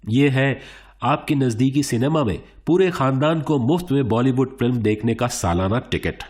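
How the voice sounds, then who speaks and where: A man playing a suave, encouraging game show host in a radio play, presenting theatrically with deliberate pauses. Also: mid-range voice, darkish tone, natural voice. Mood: suspenseful. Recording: music bed behind the voice.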